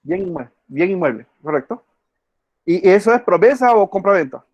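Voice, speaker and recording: A man's voice: high voice, animated, normal speed, darkish tone, dry recording, lo-fi sound. A male law professor giving an online class, quizzing students by name and repeating key words. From El Salvador.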